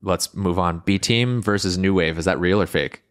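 Podcast host: male, thirties, deep register, casual quickfire questioner. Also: fairly bright tone, smooth. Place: small indoor podcast studio. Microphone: podcast microphone up close.

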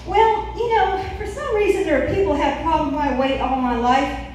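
A woman's voice: sits high, normal speed. A middle-aged woman doing a brash, profane, opinionated stand-up routine on a small club stage with a handheld mic. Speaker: stand-up comedian. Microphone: handheld stage mic, recorded from the audience. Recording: very echoey room, distant mic, faint noise.

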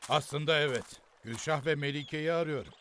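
A man's voice: a little high, a little fast, lightly acted. An actor playing a stern, suspicious man who gives probing orders in a children's cartoon. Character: stern, suspicious elder brother figure giving orders. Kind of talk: animated children's series dubbing.

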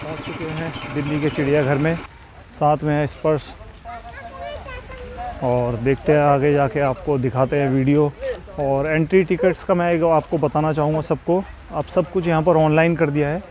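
A man's voice: fairly high pitch, steady tone, moderate pace, a little mellow. A man in his thirties, a travel vlogger chatting casually to viewers while touring a zoo outdoors on a clip-on mic. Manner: chatty, addresses viewers directly. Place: outdoors at a city zoo and riding a motorbike. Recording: outdoor traffic noise behind.

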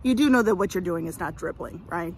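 A woman's voice: lowish voice, expressive, a little fast, slightly rough, pitched below the performer's own voice. A woman playing a judgmental, nosy suburban neighbor in a comedy monologue, blunt and condescending. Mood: scolding. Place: outdoors in a residential front yard.

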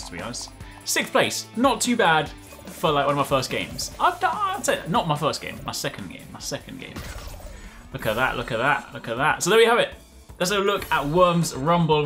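A man in his thirties giving excited, chatty, exclamatory live commentary while playing a video game. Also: a little high. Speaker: gaming video creator. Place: indoor room at a desk.

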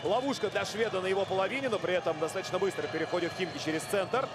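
A man calling a live basketball game play by play, brisk and lively. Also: sits high, background noise. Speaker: play-by-play commentator.

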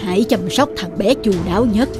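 A woman's voice: mid-range voice, brisk, music in the background, natural voice. A woman voicing a proud, protective sun god father in a cartoon, speaking formally and solemnly. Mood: grave warning. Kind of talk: dubbed mythological cartoon acting.

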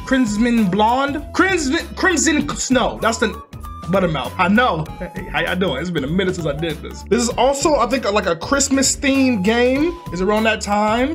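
A young American man with a slight speech impediment, casually rambling and teasing himself as he hosts a game playthrough. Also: high-pitched. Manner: playful, rambling, self-teasing. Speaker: gaming video host. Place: indoor room with green screen.

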